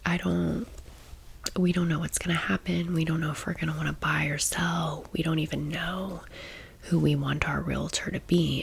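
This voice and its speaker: Casting: woman in her thirties, slow ASMR whisper, casual and chatty storyteller. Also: low voice, vocal fry, fairly bright tone. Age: 30s. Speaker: ASMR vlogger.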